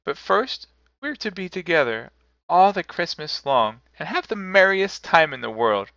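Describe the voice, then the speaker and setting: A man's voice: sits high, very animated, measured pace, sharp tone, no room sound, lo-fi sound, pitched above the performer's own voice, full character voice. A man voicing a tiny, loving, eager little girl in a storybook reading. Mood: gleeful. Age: child.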